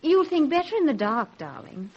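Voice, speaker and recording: A slightly noisy, muffled old radio play recording of a policeman's clever sweetheart. She speaks in a high, animated voice with playful, wry theatricality. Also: normal speed. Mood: coaxing. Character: teasing, quick-witted sweetheart of a young policeman. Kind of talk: vintage radio drama acting.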